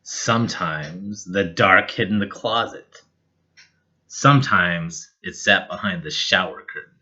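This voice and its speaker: A man reading a children's picture book aloud in an expressive, measured and dramatic storytelling style. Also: low-pitched, dull audio. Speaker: storybook reader.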